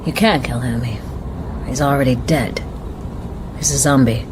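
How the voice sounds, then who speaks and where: A composed, fearful woman on the run in a radio drama. Her voice is notably low for a woman, with grave, measured delivery. Noticeable train noise sits behind her.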